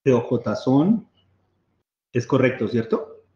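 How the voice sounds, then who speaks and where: A man leading a casual mapping workshop over a video call, chatty and quick to laugh, often repeating his words. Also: mid-range voice, sing-song, darkish tone. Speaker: workshop presenter sharing his screen. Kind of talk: casual live screen-share demo. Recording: echoey room, slightly dull audio.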